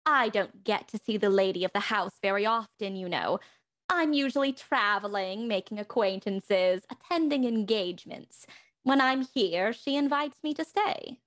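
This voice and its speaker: A woman's voice: medium pitch, normal speed, a bit bright, natural voice. A woman playing a haughty, leisured socialite houseguest in a radio mystery, arch, airy and evasive. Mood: breezy, arch. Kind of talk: radio mystery acting, live online table read.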